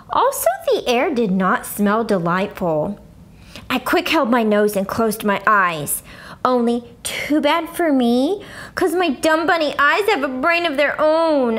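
An excitable little schoolgirl played by a woman tells her storybook journal in a high voice. She is blunt and dramatic, swooping widely in pitch and taking her time with heavy, exaggerated emphasis. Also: full character voice. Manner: childlike bad grammar, exaggerated emphasis. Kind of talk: expressive children's book read-aloud.

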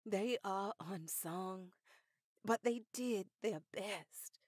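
An actress playing a dignified, sentimental older woman in a radio drama, speaking deliberately. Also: mid-range voice, a little mellow, husky, dry recording, studio quality. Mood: solemn, gracious.

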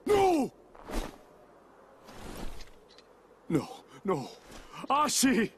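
Anguished high-pitched samurai, echoing. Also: male voice, huge pitch swings, exaggerated voice. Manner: halting, emotional, formal diction. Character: anguished, guilt-ridden samurai man, desperate and haunted. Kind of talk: dramatic animated game voice acting. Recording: long echoing reverb, noisy ambience.

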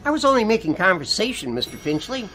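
A woman's voice: mid-range voice, very animated, fast talker, a bit bright, soft background music. A woman playing a friendly, timid elevator operator in a radio drama, hesitant and deferential. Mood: meek.

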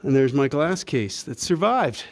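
A man's voice: medium pitch, sing-song, measured pace. A man narrating a casual off-the-cuff vlog, rambling and thinking aloud in a folksy way. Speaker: vlogger exploring a cave. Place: cave trail.

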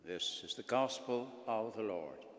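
A man's voice: fairly low pitch, expressive, measured pace, fairly bright tone. A man, a priest, reading the gospel and giving a conversational homily, joking with the congregation. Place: large church. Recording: echoey church with long reverb.

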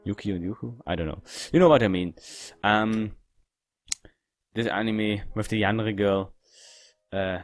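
A man giving casual game commentary in halting, non-native English phrasing. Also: lowish voice, dry recording, faint music behind. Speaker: gaming video host.

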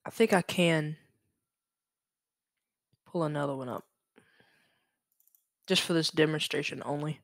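A young man talks through a software tutorial at a slow pace. His delivery is informal and halting, as he thinks aloud, and the sound is dry and close, with no room echo. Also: on the high side, gravelly. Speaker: tutorial presenter. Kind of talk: casual screen-recorded software tutorial.

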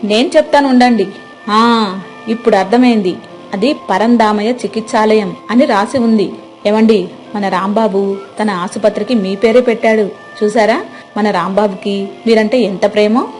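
An older village mother played by a woman speaks at a middling pitch, expressive and gently chiding. She is practical and protective of her family, in a dramatized story with soft music behind. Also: moderate pace, lightly acted. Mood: pleased. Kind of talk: dramatized moral story acting.

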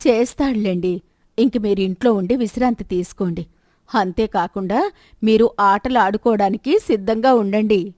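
A woman plays a middle-aged merchant's wife in a moral tale. She is practical and persistent, chiding and questioning at a middling pitch. The sound is close and dry. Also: normal speed, darkish tone, no room sound, natural voice. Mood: assured, persuasive. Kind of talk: moral story dialogue.

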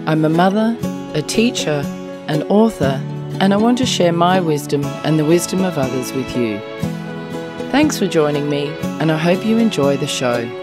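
Low-pitched woman as podcast host, steady and measured. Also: over loud music. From Australia. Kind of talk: interview podcast.

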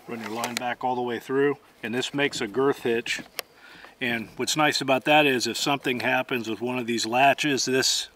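A man's voice: mid-range voice, fairly even intonation, normal speed, a bit bright, slight background noise. A middle-aged man giving methodical, plainspoken how-to instruction outdoors as he demonstrates gear. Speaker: host and demonstrator.

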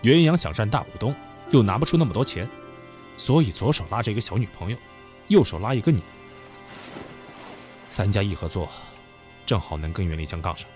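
A man's voice: on the low side, expressive, a little fast, pauses often, a little mellow, soft background music, close to an everyday voice. A man acting an insinuating, worldly senior businessman in an audio drama, with a knowing, needling manner. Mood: needling, pressing.

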